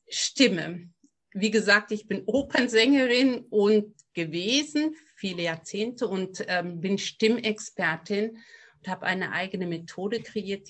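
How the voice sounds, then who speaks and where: A middle-aged woman with a slight Rhineland accent talks as a guest on a podcast. She is a voice coach, and she explains her craft in a reflective, earnest way. Her voice sits at an ordinary middle pitch. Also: fairly bright tone. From near Cologne, Germany. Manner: reflective, earnest, speaks of voice work with conviction. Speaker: voice coach and opera singer, guest. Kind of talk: podcast interview.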